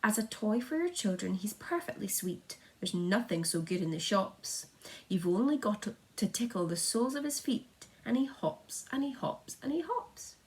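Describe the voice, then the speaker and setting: A woman's voice: medium pitch, animated, normal speed, lightly acted. A woman voicing an eager, polite young boy who proudly presents friends in sing-song storybook rhyme. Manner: sing-song rhyming delivery, showman-like.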